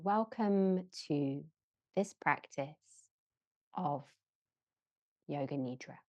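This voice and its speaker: Very slow, low-voiced woman guiding relaxation. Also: lively intonation, no echo, highs cut off. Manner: measured, gentle, with long pauses between short phrases. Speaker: yoga nidra guide. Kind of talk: slow guided relaxation meditation.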